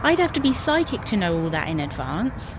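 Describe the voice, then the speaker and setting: An actress playing an exasperated, bossy British wife in a domestic comedy audio drama, nagging and insistent. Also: female voice, mid-range voice, sing-song, brisk, close to an everyday voice. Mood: anxious, reasoning. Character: exasperated, bossy wife navigating for her husband. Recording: noisy, car ambience.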